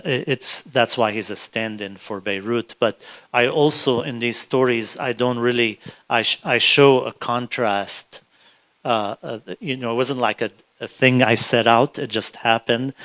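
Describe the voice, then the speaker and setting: Halting, soft-spoken man from South Lebanon. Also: on the low side. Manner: halting, many restarts and fillers. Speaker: author. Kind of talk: conversational author talk at a book event. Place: event room before an audience.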